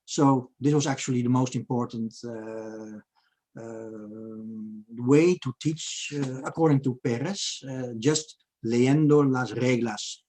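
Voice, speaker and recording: A man in his sixties with a Dutch accent, giving a halting academic seminar lecture over a video call and reading out quoted passages. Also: medium pitch, darkish tone, low-quality recording. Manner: halting, many fillers, reads Spanish quotes aloud.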